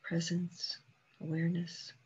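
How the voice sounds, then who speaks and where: A woman meditation teacher leading a guided meditation calmly and unhurriedly, leaving long pauses between short phrases. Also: fairly low pitch, lo-fi sound, a little hiss or hum.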